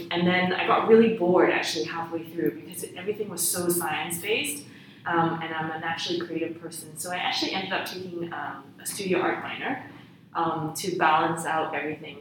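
A woman's voice: fairly low pitch, fairly bright tone. A young adult woman from Hong Kong and Ghana, a youth charity founder, telling her story candidly and reflectively as an interview guest. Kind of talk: casual interview. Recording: echoey room, far from mic, faint noise.

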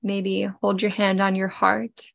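A woman of forty speaks slowly and tenderly, with a gravelly, creaky edge. She is congested from a cold, and the sound is dry and close. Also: mid-range voice, completely flat delivery, no echo, lo-fi sound. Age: 40s. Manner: gentle and heartfelt, with frequent pauses and fillers. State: congested, getting over a cold. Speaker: podcast host. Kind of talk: personal reflective podcast talk.

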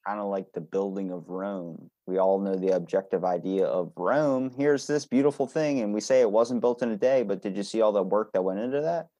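A man's voice: lowish voice, fairly quick, few pauses, warm tone, no echo, muffled recording. A man in his early twenties hosting a casual conversation, blunt and informal as he banters and asks questions. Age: early 20s. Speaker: podcast host.

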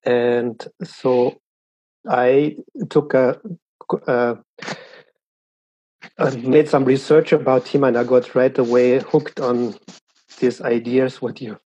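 A man talks slowly and haltingly, often pausing to find his words. His voice is husky and mellow. The recording sounds dull and lo-fi. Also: mid-range voice, little pitch movement. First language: German. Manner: hesitant, pauses searching for words. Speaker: guest. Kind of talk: casual interview talk.

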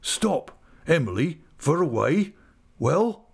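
Man playing a shattered, halting old rustic fisherman. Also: big pitch swings, gravelly, breathy edge, full character voice. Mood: disbelieving. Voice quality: low, shivering. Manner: slow, halting, repeating words. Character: shattered older uncle, humble rustic fisherman, stunned with grief.

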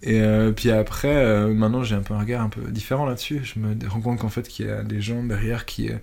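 A man in his thirties with a somewhat low voice, talking as a guest in a relaxed interview. He hesitates a lot, filling gaps and restarting sentences as he searches for words. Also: quick pace, vocal fry. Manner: hesitant, many fillers and restarts. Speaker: musician guest. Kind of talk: casual interview chat.

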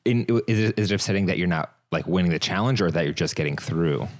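A man with a very low voice hosts a relaxed interview chat. He rambles, restarts and stammers often, keeping things loose and friendly. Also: fast talker. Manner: rambling, stammering, laid-back.

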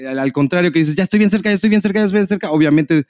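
A man's voice: sits high, very fast, a little mellow, no echo, clean studio sound. A man with a Mexican accent chatting casually as a podcast co-host, slangy and sweary, repeating phrases for emphasis. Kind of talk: casual comic chat.

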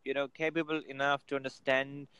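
A man's voice: medium pitch, flat delivery, brisk, sharp tone, clear, resonant tone, no echo. A man giving casual motivational advice on a video call, filling his talk with "you know" and "right?". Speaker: guest.

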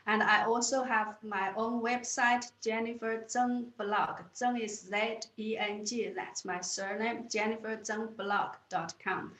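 A woman's voice: fairly high pitch, steady tone, a little slow, few pauses, a bit bright, reverberant. A woman from China with a Chinese accent, answering interview questions earnestly, often restarting and repeating words. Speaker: guest.

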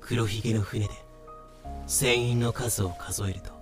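A man's voice: on the low side, steady tone, measured pace, faint music behind, lightly acted. A man playing the grave narrator of a pirate legend in a fan audio drama. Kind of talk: scripted occult-tale narration in audio drama.

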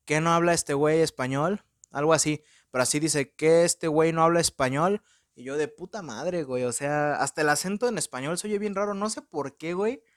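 A man's voice: a little high, no echo, studio quality. A young Mexican man with a Mexican accent, casually reviewing a movie at home in slangy, profane talk full of filler words. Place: room at home in front of a window.

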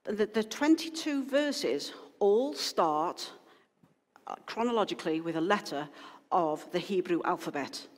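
A woman's voice: lowish voice, expressive, a little slow. A woman pastor giving an informal, rambling sermon to her congregation, full of asides and restarts. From Wales. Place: church hall. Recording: long hall reverb.

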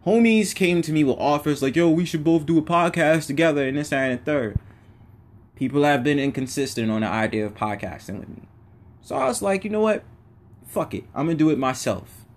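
A man's voice: fairly high pitch, animated, fairly quick. A man hosting his own podcast, giving a casual, preachy motivational monologue in everyday slang. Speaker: podcast host.

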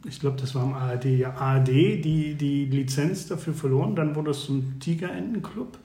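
A man chatting casually as a podcast co-host, reminiscing haltingly and often checking that his listener follows. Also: fairly high pitch, mellow. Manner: halting, searching for words, seeks confirmation. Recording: echoey room.

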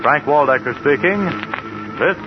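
Male vintage radio announcer: formal, measured, slightly high voice with music behind, dull recording. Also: lively intonation. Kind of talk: 1950s radio credits announcement. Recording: muffled old radio recording.

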